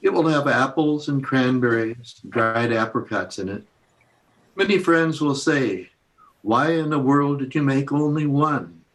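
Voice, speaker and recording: A man reading a poem aloud in a measured, plain style. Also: medium pitch, fairly bright tone, rough recording. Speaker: reader.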